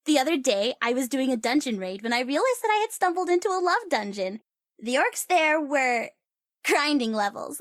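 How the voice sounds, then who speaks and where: High-pitched, cocky sorceress. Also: female voice, no echo, clean studio sound. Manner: theatrical, teasing, swinging into wry asides. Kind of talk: video game character voice lines.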